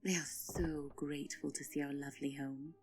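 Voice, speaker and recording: A woman voicing a smug griffin courtier in an audio roleplay, breathy, with gushing formality and false courtesy. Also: sits low, little pitch movement, normal speed, soft background music. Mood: smug, sweet. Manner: gushing, formal, theatrical politeness.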